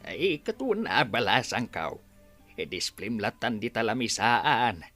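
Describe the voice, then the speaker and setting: An actor playing a hesitant, insinuating older village gossip with a Filipino Ilocano accent, in radio drama style. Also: male voice, lowish voice, big pitch swings, measured pace, fairly bright tone, no room sound, soft background music, heavily acted.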